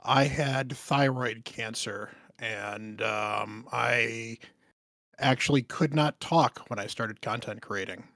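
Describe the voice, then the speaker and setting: Man, rough strained raspy voice, quiet, earnest, dry close sound. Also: slow, no room sound. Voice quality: rough, strained voice from a damaged vocal cord. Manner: low volume, careful and earnest. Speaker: streamer and content creator. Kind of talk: casual panel chat.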